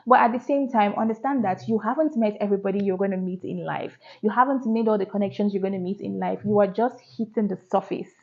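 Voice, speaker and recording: A woman's voice: medium pitch, fast talker, few pauses. Woman, early thirties, candid chatty vlogger, muffled recording. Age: 30s. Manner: chatty, candid, asks rhetorical questions. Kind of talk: casual reflective talk to camera.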